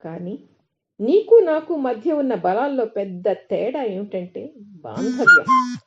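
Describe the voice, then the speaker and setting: A woman reading the part of a proud demon king who is dying and regretful in defeat, in solemn, measured storytelling. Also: fairly high pitch, huge pitch swings, heavy background noise, exaggerated voice. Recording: dull, lo-fi recording.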